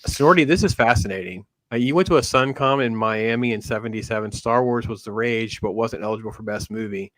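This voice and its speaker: A man's voice: lowish voice, fairly quick, hardly any pauses, a bit bright, no room sound, rough recording. A middle-aged man hosting a livestream in a casual, conversational style. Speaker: livestream host.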